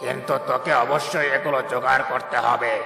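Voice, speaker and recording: A man voicing a menacing, greedy genie in a cartoon fairy tale, gravelly and bullying, giving commands. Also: medium pitch, fairly even intonation, fairly quick, lightly acted. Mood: demanding. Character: menacing, greedy genie woken from ancient sleep. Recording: long cavernous reverb over loud music, distant.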